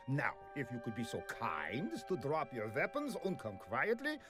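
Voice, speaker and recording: An actor playing a sneering Nazi officer villain, condescending and mock-polite, in a video game cutscene. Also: male voice, medium pitch, huge pitch swings, moderate pace. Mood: smug, mock-polite. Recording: music behind the voice. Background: music.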